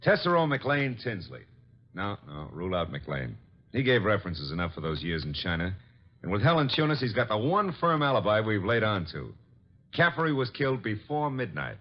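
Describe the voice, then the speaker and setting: An actor playing a wisecracking, skeptical detective's sidekick in an old radio play, slangy and punchy. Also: male voice, lowish voice, very animated, normal speed. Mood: wry, animated. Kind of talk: old-time radio drama acting. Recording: dull old radio recording.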